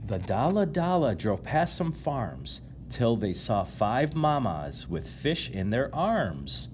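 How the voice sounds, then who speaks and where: Man, children's read-aloud, very lively pitch, unhurried, some background noise. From United States, Illinois. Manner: animated reading, addressing young listeners. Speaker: chiropractor reading a picture book to children. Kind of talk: storybook read-aloud with casual asides.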